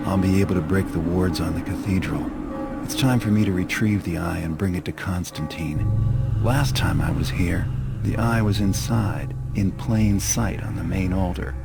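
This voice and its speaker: A man's voice: low voice, moderate pace, creaky, loud music behind the voice. A man playing a cynical, world-weary thief for hire in a video game, dry and understated. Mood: dry, resolved. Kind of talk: video game cutscene voice acting.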